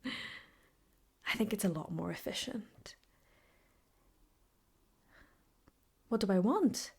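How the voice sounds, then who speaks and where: A woman playing an obsessive, possessive, sweetly menacing ex-girlfriend in an improvised ASMR roleplay, teasing and often slipping into breathy whispers. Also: medium pitch, lively intonation, takes it slowly, darkish tone, heavily acted.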